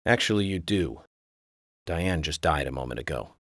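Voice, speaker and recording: A man's voice: a bass voice, expressive, moderate pace, fairly bright tone, dry recording, studio quality. A man playing a cool, probing investigator in a video game, firm, pointed and matter-of-fact. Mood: stern, accusing. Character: cool, probing male investigator interrogating suspects. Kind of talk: video game cutscene acting.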